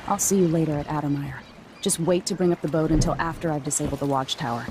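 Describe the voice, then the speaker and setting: A woman's voice: low-pitched, quick pace, noisy background, close to an everyday voice. An actress voicing a resolute, guarded woman infiltrator in a video game, making terse, grave remarks.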